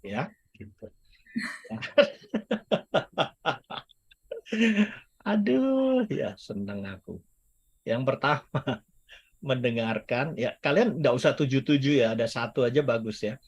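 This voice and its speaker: A man's voice: medium pitch, animated, slow pace, breathy edge, dull audio. A man preaching in a casual, chatty way, joking with his listeners and mixing in English phrases. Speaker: guest speaker. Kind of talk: casual teaching sermon. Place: church service or online meeting.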